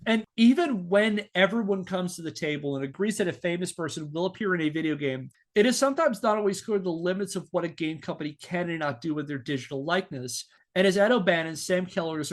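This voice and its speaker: High-voiced man telling stories on a podcast. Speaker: podcast host. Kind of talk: scripted narration with casual asides.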